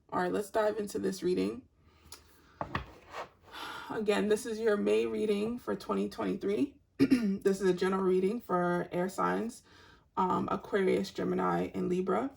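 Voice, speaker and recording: A woman giving a tarot reading to her online audience in a soft, meandering, spiritual way, with a raspy voice. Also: mid-range voice. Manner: soft-spoken and meandering, unhurried spiritual talk. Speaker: tarot reader.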